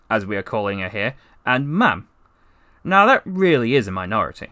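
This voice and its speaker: A man's voice: mid-range voice, a bit bright, no room sound. A man voicing a chatty, wry, besotted half-alien narrator who tells his comic story conversationally, with rambling asides. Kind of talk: first-person comic story narration, voice acting practice.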